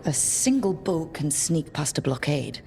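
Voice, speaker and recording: An actress playing a wry, encouraging young leader of a band of heroes in a video game. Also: female voice, low-pitched, big pitch swings, measured pace, vocal fry, warm tone, a little hiss or hum, exaggerated voice. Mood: resolute. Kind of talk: video game cutscene acting.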